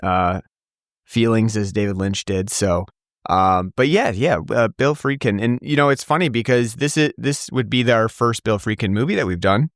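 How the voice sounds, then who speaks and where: A man's voice: on the low side, normal speed, no room sound, clean studio sound. A man hosting a casual podcast, rambling with many fillers and restarts. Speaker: podcast host.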